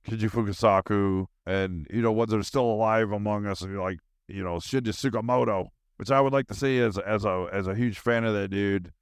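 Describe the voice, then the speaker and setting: A man's voice: deep voice, no echo, studio quality. A man hosting a solo podcast in a casual, rambling monologue, with a goofy manner and silly asides.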